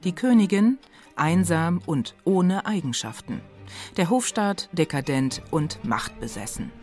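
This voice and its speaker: A woman hosting radio with a scripted presentation, speaking pointedly with deliberate dramatic pauses. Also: lowish voice, lively intonation, darkish tone. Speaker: radio host. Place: radio studio. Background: music.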